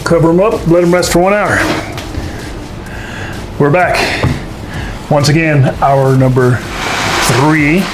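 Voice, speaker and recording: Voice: mid-range voice, steady tone, takes it slowly, pauses often, noisy background. A folksy, jokey host casually talking through a baking demonstration to camera. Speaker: host of a paranormal show doing a baking segment.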